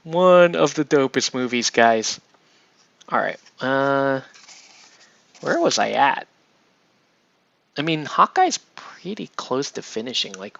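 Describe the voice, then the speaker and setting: A man chatting casually on a livestream while he paints miniatures, rambling and excitable, often breaking off mid-sentence. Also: a little high, gravelly, highs cut off. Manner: rambling, excitable, self-interrupting with laughs.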